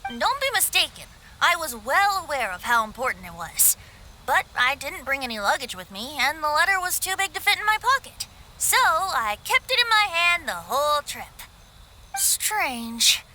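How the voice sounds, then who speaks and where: A woman's voice: high-pitched, big pitch swings, moderate pace, heavy background noise. A woman voicing a carefree young male bard in a video game, airy, playful and courteous. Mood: apologetic.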